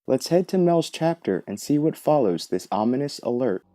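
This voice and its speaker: Male commentator for book breakdown podcast: medium pitch, deeply mellow, scripted and analytical. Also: big pitch swings, moderate pace. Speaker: podcast host.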